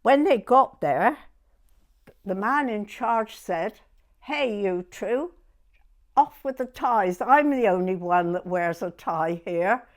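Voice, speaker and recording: An elderly woman in her nineties with a southern English accent. She speaks slowly at an ordinary pitch, reminiscing in a halting, conversational way and checking now and then that her listener follows. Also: animated. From Dorset, England. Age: 90s. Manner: halting storytelling, often checking the listener understands. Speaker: oral history interviewee.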